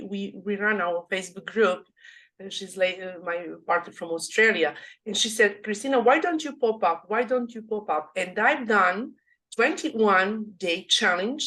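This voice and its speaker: A woman's voice: mid-range voice, fairly bright tone, low-quality recording. Serbian lady hosting a podcast chat, english is her second language, lots of restarts and repeats. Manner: halting, many restarts and repeated words. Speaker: podcast host. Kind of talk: casual interview chat.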